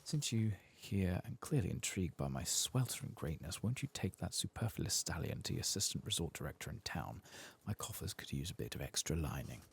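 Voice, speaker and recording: A man's voice: low-pitched, sing-song, a little fast, vocal fry, hardly any pauses, slightly rough, heavily acted. A man voicing a vain, pompous elf adventurer in a video game, condescending and florid as he brags to those beneath him. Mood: smug, boastful.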